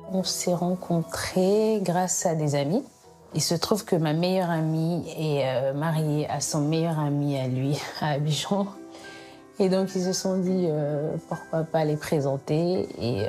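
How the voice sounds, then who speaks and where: Calm woman from Ivory Coast, slightly low. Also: measured pace, faint music behind. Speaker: expectant mother. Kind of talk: casual chat and interview.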